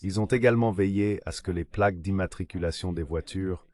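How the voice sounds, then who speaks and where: A man's synthetic voice reading translated political discussion as a measured voice-over. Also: low voice, a little mellow. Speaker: voice-over translator of interview guests. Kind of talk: dubbed political discussion, measured read delivery.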